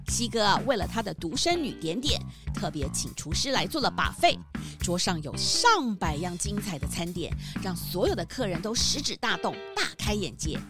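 Lady reading a kids' story, lively bouncy voice, music under it. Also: medium pitch. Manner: storybook, expressive. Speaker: narrator. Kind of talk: children's story narration.

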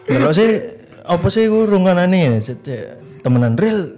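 Seorang pria muda dengan logat Jawa Timur yang menimpali dan bercanda dalam obrolan podcast. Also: male voice, sits high, very animated, normal speed, warm tone, faint music behind. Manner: reactive, echoing and joking along. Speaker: podcast co-host. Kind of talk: casual podcast banter.

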